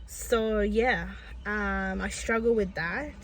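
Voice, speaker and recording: Woman vlogger who stutters, talking slowly and sincerely to camera. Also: medium pitch, background noise. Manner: stutters, with repeated sounds and restarts; earnest and reflective, many fillers. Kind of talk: personal vlog monologue.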